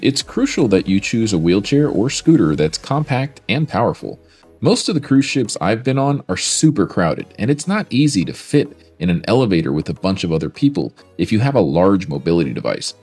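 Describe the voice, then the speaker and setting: A man's voice: lowish voice, husky. A man, presenting a scripted product video in an upbeat, salesy way. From Florida, USA. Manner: upbeat, salesy, lively intonation. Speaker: mobility scooter company presenter.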